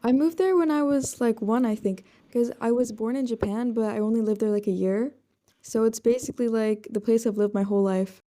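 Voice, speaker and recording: A woman's voice: a little high, fairly quick, mellow. A young woman in her late teens, raised in the Netherlands, answering interview questions shyly and hesitantly over her laptop mic. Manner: shy, hesitant, lots of ums. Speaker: guest singer-songwriter. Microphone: laptop built-in mic.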